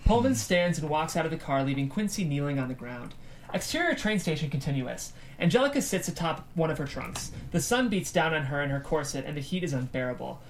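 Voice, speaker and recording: A woman's voice: deep voice, lively intonation, fairly quick. A woman reading stage directions as scripted narration at a table read.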